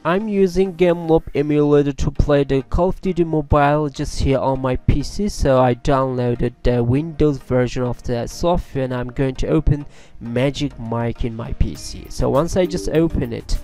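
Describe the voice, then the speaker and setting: A man hosting a casual software tutorial as a voice-over on a USB microphone. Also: mid-range voice, normal speed, faint music behind. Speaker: tutorial video host.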